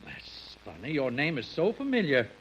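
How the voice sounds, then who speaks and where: An actor playing a busy, absent-minded lawyer in an old radio comedy, brisk, distracted and self-important, on a noisy vintage recording. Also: male voice, mid-range voice, huge pitch swings, lo-fi sound. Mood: flustered, distracted.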